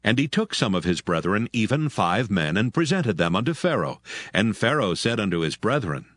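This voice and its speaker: Deep-voiced solemn scripture narrator. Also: male voice, very animated, normal speed, fairly bright tone, dry recording.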